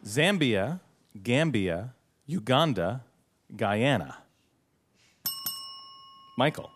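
A man's voice: mid-range voice, sing-song, very slow, slight background noise. A man with an accent hosting a comedy quiz, asking clues and teasing the players dryly. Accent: non-native English. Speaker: quiz co-host and musician. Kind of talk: comedy quiz show banter.

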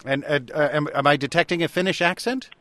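A man's voice: fairly high pitch, fast, fairly bright tone, dry recording. A man hosting a call-in radio show, easygoing and wry as he takes callers. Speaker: radio host.

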